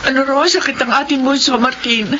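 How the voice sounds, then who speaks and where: A woman's voice: high-pitched, fairly quick, lightly acted. An actress playing an anxious, distraught mother in a Filipino radio drama, with pleading, emotional delivery. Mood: worried. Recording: dull, noisy radio drama recording.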